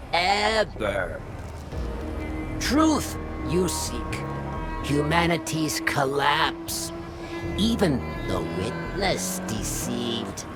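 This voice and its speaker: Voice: mid-range voice, heavily acted. A voice actor playing a scheming, demanding parasitic alien worm in a video game, speaking in clipped, grandiose broken phrases. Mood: grudging. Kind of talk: dramatic game voice acting. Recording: loud music over voice.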